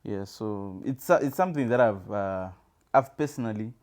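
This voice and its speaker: A Zimbabwean man co-hosting a casual chat, speaking hesitantly with many pauses and fillers. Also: lowish voice, a little mellow. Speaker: co-host.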